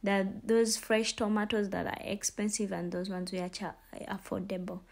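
Young Ugandan woman, casual and halting. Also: medium pitch, level tone, gravelly. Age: young adult. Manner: informal, halting, repetitive explaining. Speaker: vlogger, student. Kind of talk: casual shopping vlog narration. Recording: store ambience noise, handheld phone. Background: faint ambient noise.

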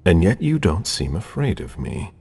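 Casting: guarded vampire agent in a video game, extremely low pitch, heavily raspy and creaky. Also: very animated, normal speed, warm tone, heavily acted. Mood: guarded, probing.

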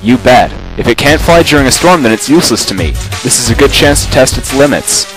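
A man's voice: mid-range voice, lively intonation, brisk, lightly acted, poor audio. A man voicing a proud, determined young dreamer who flies gliders, played earnestly for an anime fan dub. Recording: prominent music behind the voice.

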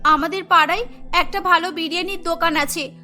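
A woman's voice: extremely high pitch, steady tone, a little fast, loud music behind the voice. A woman voicing a cheeky, scheming schoolgirl in a cartoon, with a brazen, wheedling manner. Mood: eager, cocky. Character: cheeky, scheming schoolgirl crazy about biryani. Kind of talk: cartoon story acting.

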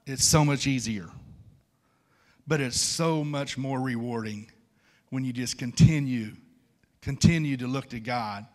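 A man's voice: mid-range voice, measured pace, pauses often. Folksy preacher in his fifties. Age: 50s. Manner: folksy, conversational, repeats phrases for emphasis. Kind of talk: informal church sermon. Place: large church stage at a wooden pulpit.

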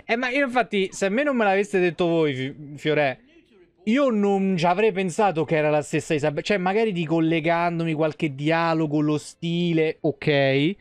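A man in his thirties doing casual live game commentary. His voice sits a bit high, and he talks colloquially with swearing into a close microphone, very dry and without room echo. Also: normal speed, clean tone. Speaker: game streamer. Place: small room at home.

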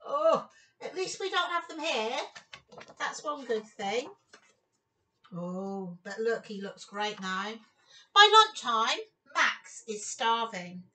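A woman in her fifties giving an expressive storytime read-aloud for young children, with exclamations and chatty asides. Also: on the high side, slow pace, recorded from a distance. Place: small room at home, indoors.

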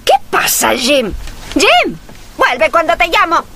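An actress playing a brisk, plain-spoken, scolding sister in a radio drama. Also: female voice, very high-pitched, very animated, background noise, full character voice.

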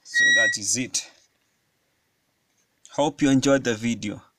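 Slow, bright-voiced man explaining a circuit. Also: mid-range voice, no echo. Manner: slow, step-by-step explaining with frequent fillers. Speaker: electronics hobbyist demonstrating a circuit. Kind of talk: hands-on tutorial narration. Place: indoors at a wooden table.

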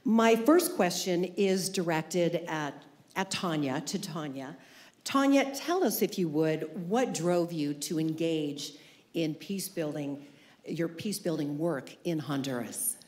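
Woman, 50s, moderator, lower voice, a few fillers. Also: slow, a little mellow. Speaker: moderator, journalist. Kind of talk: moderating a panel. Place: theater stage.

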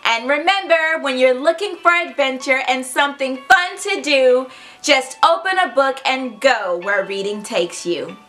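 A woman in her thirties narrates a storybook for young children in a high, bright voice. Her delivery is expressive, teacherly and unhurried. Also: faint music behind. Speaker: children's read-aloud host. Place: furnished room indoors.

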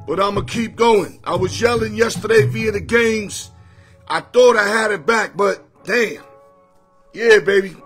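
A hoarse man with a fairly high voice chats casually on a home livestream, shouting out viewers and talking in loose street slang. He sounds close to a phone or webcam, with faint music playing underneath. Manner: energetic, greets viewers by name, street slang. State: hoarse, losing his voice. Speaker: sports picks livestream host. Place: home room full of sports memorabilia with a TV. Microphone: phone or webcam at arm's length.

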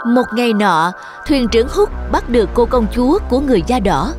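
A woman's voice: a little high, lively intonation, a little fast. A woman narrating a scripted fairy tale for children as the storyteller. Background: music.

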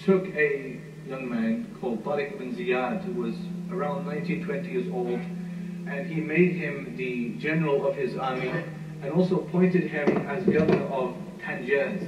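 A man's voice: mid-range voice, fairly even intonation, normal speed. Muffled distant man lecturing on history. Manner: storytelling with frequent fillers. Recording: muffled, roomy, far from mic, noisy.

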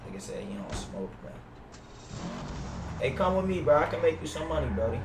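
A man's voice: a little high, big pitch swings, brisk, distant mic. A young American man streaming a role-play game, bantering casually in slangy, sweary street talk on a gaming headset. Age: young adult. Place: indoors at a gaming desk. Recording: roomy headset audio with clear background noise. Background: game car and street ambience.

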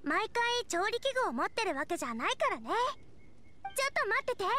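A woman's voice: very high-pitched, very animated, moderate pace, noisy background. An actress playing a cheerful, eager young girl chef in an anime game, bubbly and earnest. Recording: clean studio voice over soft music.

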